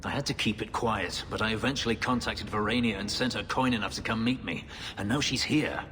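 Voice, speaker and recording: A man's voice: on the low side, brisk, bright, forceful tone, long reverb tail, slight background noise. An actor playing a bitter, brooding male elf warrior and former slave, speaking in a grave, terse, restrained way. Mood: worried.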